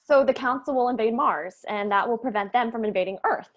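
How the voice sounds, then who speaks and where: A woman's voice: on the high side, a little fast, a little hiss or hum, natural voice. A woman playing a brainy, precise young female planner at a comedy table read. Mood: tentative.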